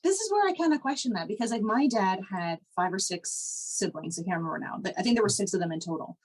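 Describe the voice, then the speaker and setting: A woman's voice: mid-range voice, animated, fast talker. A woman co-hosting a podcast, talking casually with lots of "like" and laughing as she tells stories. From Canada. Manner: chatty, frequent "like", laughs while telling stories. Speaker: podcast co-host. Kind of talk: casual conversation.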